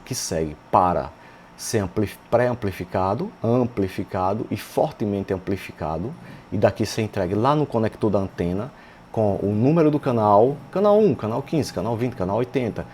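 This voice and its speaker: A Brazilian man walks through technical material like an informal instructor. His voice sits somewhat low and has a very mellow, dark tone. He talks conversationally, dropping in little check-in questions, with noticeable noise behind him. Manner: conversational, often adding check-in tag questions. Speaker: electronics instructor. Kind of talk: informal technical tutorial, explaining step by step. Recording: clear background noise.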